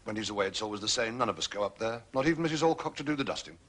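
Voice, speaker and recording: Smooth, evasive butler, husky and bright. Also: male voice, on the low side, expressive. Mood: smoothly explanatory. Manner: formal, obsequious, measured hesitations. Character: smooth, deferential male butler, unflappable and evasive. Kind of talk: British period mystery drama acting.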